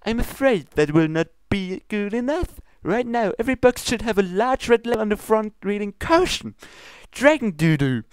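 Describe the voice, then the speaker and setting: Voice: mid-range voice, big pitch swings, moderate pace, few pauses, smooth, no room sound, pitched above the performer's own voice, lightly acted. A reader voicing a haughty, regal pony princess with a British accent and a formal, indignant manner in a comedy fan fiction reading.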